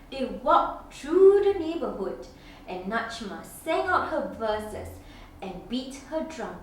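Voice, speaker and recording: A woman reading a picture book aloud to children as a storyteller, with deliberate, dramatic phrasing and expressive pauses. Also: sits high. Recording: very echoey room, distant mic, faint noise.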